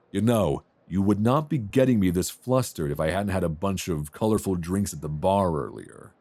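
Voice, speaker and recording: A man's voice: deep voice, expressive, normal speed, a little mellow, dry recording. A man playing a chatty, easily flustered, flirtatious airline passenger in a romance roleplay audio, rambling and hesitating in a self-deprecating way. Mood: flustered, flirty. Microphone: close binaural microphone.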